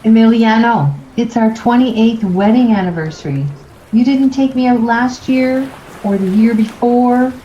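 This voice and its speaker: A woman's voice: a little high, a little slow, warm tone, roomy sound, lo-fi sound, a little hiss or hum, natural voice. A woman playing a firm, sentimental middle-aged wife in a radio play. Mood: reproachful, insistent. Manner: reproachful, firm.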